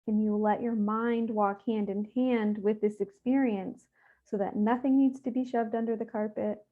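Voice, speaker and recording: A woman leading a guided meditation, speaking gently and without hurry, with soothing instructions and pauses. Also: fairly high pitch, fairly even intonation. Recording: thin, phone-like narrow-band audio.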